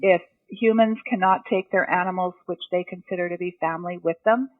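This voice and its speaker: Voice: medium pitch, steady tone, a little fast, dry recording, narrow, tinny audio. An interview guest answering in a plain, matter-of-fact way. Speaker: animal emergency response guest.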